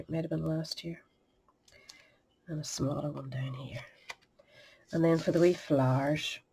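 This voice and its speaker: A woman's voice: deep voice, mellow, breathy edge. A sniffly middle-aged woman, chatting through a step-by-step card-making demonstration and muttering asides under her breath. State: sniffly with a cold. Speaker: craft tutorial host.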